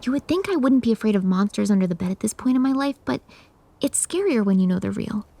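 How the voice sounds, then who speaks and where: A woman's voice: mid-range voice, expressive, quick pace, vocal fry, background noise. An actress playing a dry, sarcastic young woman mortician who sees monsters, in a horror audio drama. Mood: wry, confiding. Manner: dry, sarcastic, blunt, swearing. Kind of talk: audio drama acting with confiding asides.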